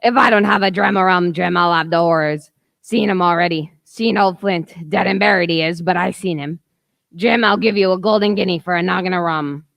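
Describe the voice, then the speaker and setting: A woman playing a gravelly old sea captain. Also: on the low side, brisk, fairly bright tone, no room sound. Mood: pleading. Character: gruff, desperate old sea captain, male, rum-craving. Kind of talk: radio play acting.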